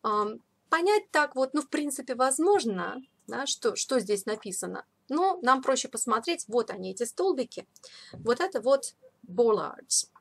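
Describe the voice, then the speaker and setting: Woman english teacher w a high-ish voice, casually explaining words, says key ones slow. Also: very animated, vocal fry, husky. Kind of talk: explanatory vocabulary lesson. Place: voice-over of a screen recording.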